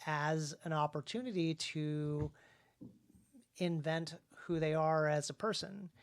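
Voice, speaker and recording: An American man hosting a casual discussion as an author, thinking aloud in a halting way with emphatic stresses. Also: a little high, smooth. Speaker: podcast host and author.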